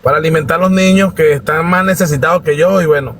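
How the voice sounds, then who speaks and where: A man from Venezuela with a Venezuelan accent giving an informal testimony as a guest, respectful and halting, on faintly noisy live audio. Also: on the high side, a bit bright. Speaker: guest participant in a live discussion.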